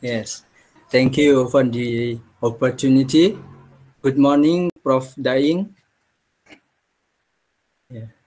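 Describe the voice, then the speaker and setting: A young man from Indonesia, a student asking a visiting professor a question politely, with pauses and "uh"s, over a laptop mic on a video call. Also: medium pitch, steady tone, a little mellow, poor audio. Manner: polite and hesitant, with many "uh"s. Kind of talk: asking a question in an online class. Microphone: laptop webcam on a video call.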